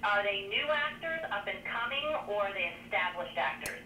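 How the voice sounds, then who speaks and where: A woman hosting a radio talk show, chatting eagerly with her guest and asking quick questions. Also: mid-range voice, expressive, pushed and bright, a little hiss or hum, low-quality recording.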